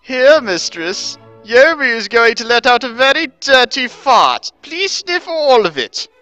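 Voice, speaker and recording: A man voicing a devoted, eager young servant girl in a slow, drawn-out, fawning manner for a dramatic story reading. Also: very high voice, sing-song, bright, forceful tone, soft background music, voice pushed up, heavily acted. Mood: eager, pleading. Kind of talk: dramatic reading of fan fiction.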